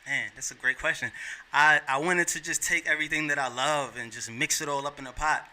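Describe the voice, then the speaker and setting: A man's voice: a little high, steady tone, brisk, pushed and bright. A man in his thirties from New York, a podcast guest talking in an earnest, heartfelt and slangy way. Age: 30s. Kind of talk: casual chat.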